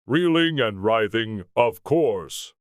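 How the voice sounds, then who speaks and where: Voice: medium pitch, lively intonation, takes it slowly, smooth, dry recording, clean studio sound. A storybook narrator voicing a melancholy, touchy old male turtle who tells tales in a sighing, mournful way. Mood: mournful, wistful.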